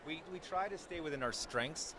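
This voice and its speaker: A young man who cosplays, telling convention stories in a loud, easygoing way during an interview. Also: on the high side, moderate pace, background noise. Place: outdoors at a busy convention.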